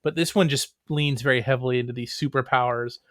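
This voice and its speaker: A man's voice: medium pitch, steady tone, fast, fairly bright tone, dry recording. Wry man chatting, dry close sound. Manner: wry, joking. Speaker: podcast guest, writer. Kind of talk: casual chat.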